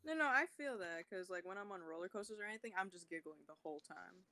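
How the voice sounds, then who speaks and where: A woman voice actor chatting casually on a stream, enthusiastic and quick to agree. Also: on the low side, expressive, a crowd in the background. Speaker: voice actor guest. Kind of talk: casual chat.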